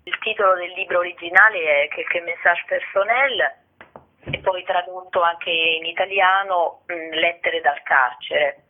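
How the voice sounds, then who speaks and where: A woman's voice: on the low side, unhurried. Woman guest on radio interview over the phone, tinny, thoughtful, feels for words as she explains. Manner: reflective, searching for words, frequent tag questions. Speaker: actress and playwright, interview guest.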